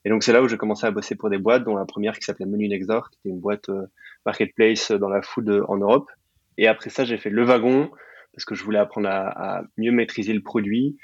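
A young man gives a casual interview in a fairly low voice. He speaks informally and drops in English jargon. The recording is dry and slightly dull, with little room sound. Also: steady tone, fast, breathy edge, no room sound. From Belgium and Sicily. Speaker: podcast guest, startup programme manager.

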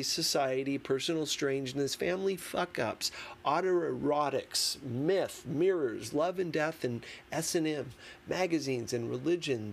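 A man reading a memoir as an audiobook, in a deliberate, emphatic manner with dramatic pauses. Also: medium pitch. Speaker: audiobook reader. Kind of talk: expressive scripted narration of a memoir. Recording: clearly noisy background.

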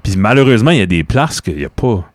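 Podcast co-host, man, relaxed low voice, dry close sound. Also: quick pace, dry recording. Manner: relaxed, plain-spoken, short replies. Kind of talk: casual chat.

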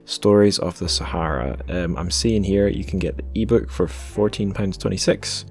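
A man with a distinctly low-pitched voice hosts a podcast. He talks in an informal, conversational way, with faint music sitting behind him. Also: normal speed, a little mellow. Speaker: podcast host. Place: remote recording over internet call.

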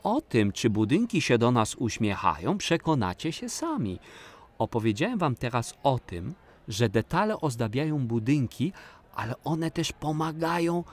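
A man's voice: mid-range voice, big pitch swings, normal speed. A man playing a friendly grown-up guide in a children's audio play, explaining things directly to young listeners in a didactic way. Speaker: storyteller guide.

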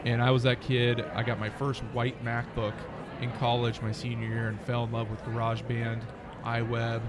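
A man's voice: mid-range voice, completely flat delivery, moderate pace, music in the background. An American man speaking conversationally as a startup founder being interviewed. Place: busy conference venue near an expo hall. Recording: busy crowd chatter behind the voice.

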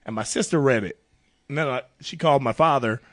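A young man co-hosting a podcast, telling laid-back stories in casual banter and acting out quotes in a deeper voice. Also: fairly quick, a bit bright, dry recording, studio quality. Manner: laid-back storyteller, acts out quotes in a deep voice. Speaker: podcast co-host.

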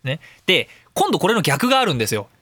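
A male radio host with a high, bright voice that swings widely in pitch. He talks in a casual, opinionated ramble, theatrical and self-mocking. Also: huge pitch swings, fast talker, dry recording, studio quality. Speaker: professional speaker and audio engineer.

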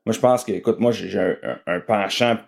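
A man's voice: lowish voice, a little fast, creaky, a little mellow. A middle-aged man with a Quebec French accent giving casual sports analysis as a guest journalist.

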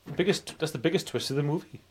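A man's voice: a little high, fast talker, slightly rough. A man in his forties chatting casually as a podcast host, rambling and often repeating himself. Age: 40s. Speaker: film review podcast host. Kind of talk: casual chat.

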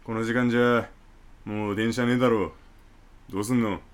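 A man playing a bossy senior hanger-on in a comedy radio drama, curt and gruff with his underling. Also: mid-range voice, fairly even intonation, normal speed, close to an everyday voice. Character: bossy male senior hanger-on bullying a junior.

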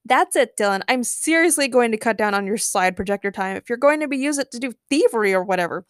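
A woman's voice: fairly high pitch, lively intonation, fast, no echo, clean studio sound, lightly acted. A woman voicing a sceptical, exasperated young female character in a story, complaining sarcastically. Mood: annoyed.

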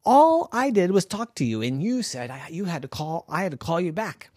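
Woman voicing a sleepy, exasperated storybook girl, hushed, lively pitch, close dry sound. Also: moderate pace, breathy edge, no room sound. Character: sleepy young girl hushing a caller.

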